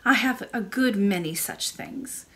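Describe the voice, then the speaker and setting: A woman voicing a very old, kindly and mysterious royal great-great-grandmother in a storybook, speaking in a calm, measured way. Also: mid-range voice, lightly acted. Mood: patient. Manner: measured, gently assured.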